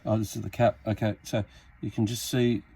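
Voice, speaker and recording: An Australian man giving a casual hands-on repair tutorial, talking in a halting, unscripted, step-by-step way as he works. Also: on the low side, flat delivery, mellow. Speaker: hobbyist demonstrating a radio repair. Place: indoors at a repair workbench. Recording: clearly noisy amateur workbench recording.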